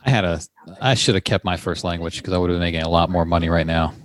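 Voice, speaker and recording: Low-voiced man telling a story. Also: quick pace. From Iran. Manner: laid-back storytelling, swears casually. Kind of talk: casual chat.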